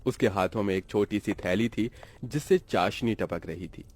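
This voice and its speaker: A man's voice: on the low side, moderate pace, darkish tone. A man narrating a scripted story for a cartoon.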